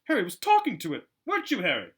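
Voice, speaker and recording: A man voicing an excitable, tattling schoolboy with loud, urgent delivery in a storybook reading. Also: high voice, quick pace, pitched above the performer's own voice, full character voice.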